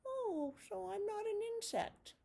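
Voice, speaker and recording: Little spider puppet, played by a woman: very high, childlike, friendly and inquisitive, for young children. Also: animated, a little slow, vocal fry, warm tone, heavily acted. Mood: unsure. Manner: childlike, unsure, eager.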